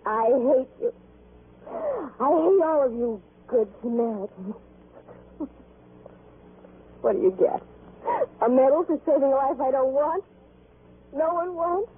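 High, hysterical despairing wife. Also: female voice, unhurried, pauses often. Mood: bitter, angry. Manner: impassioned, accusing, repeats questions. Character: bitter, hysterical, despairing suicidal young wife. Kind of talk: old-time radio melodrama acting. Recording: noisy, tinny vintage radio recording.

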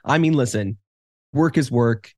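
A man's voice: mid-range voice, unhurried, a little mellow, dry recording, clean studio sound. A man co-hosting a podcast in casual chat, with wry, sarcastic humor. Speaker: podcast co-host.

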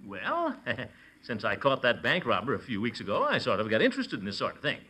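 An American actor playing a pompous, good-humoured middle-aged uncle in 1940s radio comedy, blustering and chuckling through drawn-out hesitations. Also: male voice, medium pitch, huge pitch swings, sharp tone, breathy edge, heavily acted. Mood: self-important.

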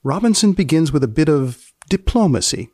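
A man hosting a podcast with wry, mock-serious commentary, speaking in a deadpan, deliberately wordy way. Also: fairly high pitch, dry recording, studio quality. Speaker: podcast host. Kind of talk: wry scripted commentary with banter.

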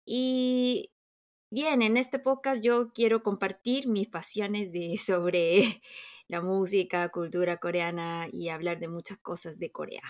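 A woman's voice: mid-range voice, flat delivery, slow pace. A woman whose first language is Korean, speaking with a Korean accent touched with Chilean, co-hosting a casual podcast chat, laughing often and sometimes hunting for words. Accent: Korean, with a slight Chilean touch. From South Korea. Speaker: podcast co-host.

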